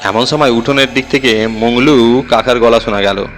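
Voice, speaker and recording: A man's voice: medium pitch, moderate pace, slight background noise. A man reading a scripted audio horror story as its narrator, telling it in the first person.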